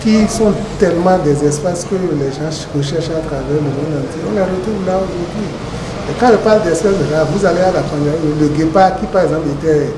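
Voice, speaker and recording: A man's voice: fairly high pitch, a little fast. A man giving his unscripted view at a meeting. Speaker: meeting participant. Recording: distant echoey mic, vehicle-like noise.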